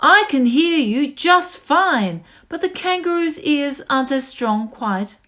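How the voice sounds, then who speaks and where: A woman's voice: high voice, animated, slow, sharp tone, exaggerated voice. A woman voicing a kindly, loyal elephant in a storybook, calling out earnestly and urgently. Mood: urging.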